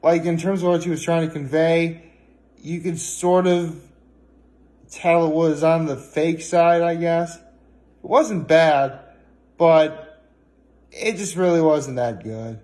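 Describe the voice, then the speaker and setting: A man with a slightly high voice talks casually, as a vlogger would to viewers. He rambles in a halting way, often stopping to correct or qualify what he has just said. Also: fairly even intonation, a little mellow. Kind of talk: casual solo vlog chat. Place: indoors at home, on a couch.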